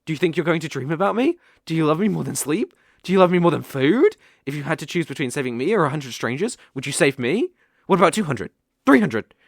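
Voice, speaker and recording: A man's voice: a little high, sing-song, creaky, dry recording, clean studio sound, full character voice. A British man playing a sly, possessive, lovestruck fox-spirit mage in an ASMR audio roleplay, coaxing and easily flustered. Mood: eager, needy. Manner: coaxing, slow, with eager flustered outbursts.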